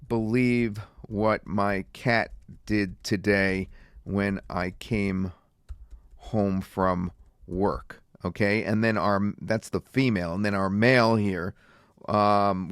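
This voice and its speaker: A man reviewing and demonstrating software in a casual, rambling way with many fillers. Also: on the low side, steady tone, takes it slowly, no echo, studio quality. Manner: casual, filler-heavy talk. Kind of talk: screen-recorded software demo.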